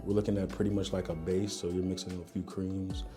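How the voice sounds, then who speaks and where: Fast-talking low-voiced man, mellow. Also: soft background music. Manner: fast, relaxed explaining with fillers. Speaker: contestant, music artist and producer. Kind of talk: casual talk to camera.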